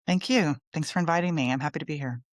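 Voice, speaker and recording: A woman's voice: low-pitched, fast talker, no room sound. A middle-aged woman with an American accent tells her story in a candid, informal interview style. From Texas, United States. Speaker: podcast guest, lawyer and coach.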